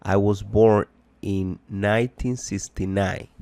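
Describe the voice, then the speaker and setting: A man teaching a bilingual language lesson, deliberately explaining, translating and repeating phrases. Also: fairly low pitch, fairly even intonation, vocal fry, dark tone, dry recording. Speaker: English teacher.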